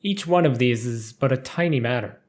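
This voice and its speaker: A man's voice: medium pitch, expressive, normal speed. A man reading a scripted story aloud as narrator, with formal, careful delivery.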